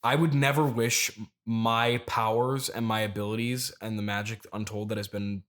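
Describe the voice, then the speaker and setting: A man's voice: lowish voice, little pitch movement, fairly bright tone, natural voice. Cagey, pompous immortal farmer played by a man. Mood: grandiose, mournful. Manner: evasive, pompous, halting. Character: cagey, grandiose immortal turnip farmer, male, mystic, grieving superfan. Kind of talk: improvised comedy interview.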